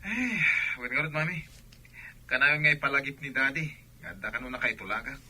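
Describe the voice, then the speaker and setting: Bright, sharp grown son played by a man. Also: medium pitch, expressive, fairly quick, breathy edge, exaggerated voice. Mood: breezy. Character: busy, choosy grown son running his own shop. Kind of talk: Ilocano audio drama acting.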